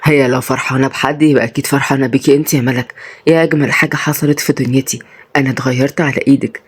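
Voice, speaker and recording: A man's voice: medium pitch, fairly even intonation, very fast, slightly rough, pitched below the performer's own voice, lightly acted. A woman voicing a teasing but tender husband in an Egyptian Arabic story, with an Egyptian accent. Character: adult husband, teasing, tender, reformed rich man turned mechanic.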